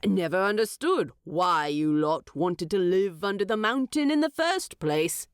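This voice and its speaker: A haughty king of the eagles, acted by a woman narrator, pompous and drily amused. The delivery is regal and unhurried, with wide swings of pitch. It has the manner of a fantasy storybook, recorded very dry. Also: lively intonation, clear, resonant tone, no echo, natural voice. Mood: pompous, approving. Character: haughty, vain king of giant eagles, male. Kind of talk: audiobook storytelling.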